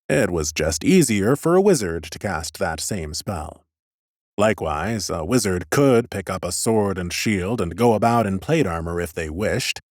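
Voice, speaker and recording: An ancient male lich, acted by a man, narrating a fantasy audiobook. He is low-voiced, precise and self-important, with lively swoops of pitch as he reasons like a curious scholar. The sound is close and dry. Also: no echo. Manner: precise, pompous, musing. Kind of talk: first-person audiobook character narration.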